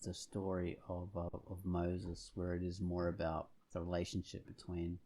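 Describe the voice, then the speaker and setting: A man's voice: deep voice, monotone, moderate pace, warm tone, slight background noise. A man co-hosting a casual podcast discussion, thinking aloud in loose, repetitive phrases. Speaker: podcast co-host.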